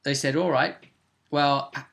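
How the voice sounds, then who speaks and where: Male game master, bright forward voice, animated and explanatory, casual recap delivery. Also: mid-range voice, unhurried, vocal fry. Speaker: game master and show host. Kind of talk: casual recap of a tabletop roleplay session.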